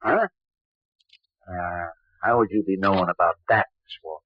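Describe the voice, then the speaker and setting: An actor playing a folksy, shrewd island lawman in an old-time radio mystery, husky and wry, slyly probing with his questions. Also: low-pitched, normal speed, no room sound, close to an everyday voice. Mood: suspicious, sly. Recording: dull old radio recording.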